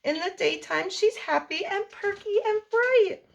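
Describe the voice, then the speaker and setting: A woman reading a picture book aloud to young children as a storytime host, in an expressive sing-song delivery. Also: very high-pitched, unhurried, vocal fry, a little hiss or hum.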